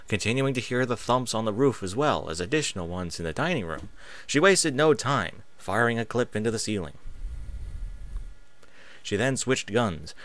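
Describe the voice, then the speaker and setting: Male narrator, lower register, very lively intonation, dramatic emphatic delivery, dry close sound. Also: no room sound. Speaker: narrator reading fan fiction aloud. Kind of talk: scripted story narration, dramatic audiobook reading.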